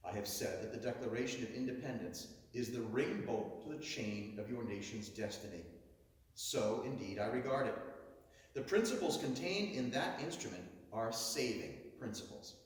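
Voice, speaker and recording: Booming orator in an echoing hall. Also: male voice, expressive. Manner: booming, dramatic swells and drawn-out stresses. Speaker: orator reading a historic speech. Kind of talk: declamatory oration reading. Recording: echoey hall, heard from far off.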